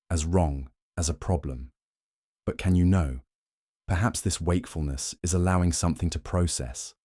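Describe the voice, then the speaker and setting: A man reading a scripted guided sleep meditation in a slow, soothing, measured way. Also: low-pitched, mellow, no room sound, studio quality.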